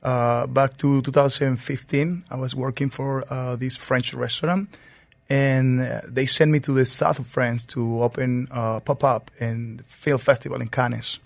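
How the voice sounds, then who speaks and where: A man from Venezuela, a chef guest in a radio studio, telling his story in a relaxed way in English. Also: mid-range voice, a little slow, vocal fry, no echo. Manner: relaxed storytelling, non-native phrasing. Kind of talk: interview answers.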